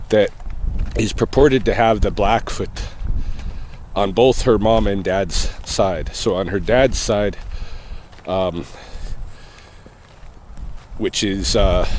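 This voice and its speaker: Low-voiced man rambling on a walk. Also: slight background noise. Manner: rambling, thinking aloud with many pauses and soft chuckles. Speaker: vlogger answering a viewer question. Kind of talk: casual walking vlog. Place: outdoors on an evening walk near traffic.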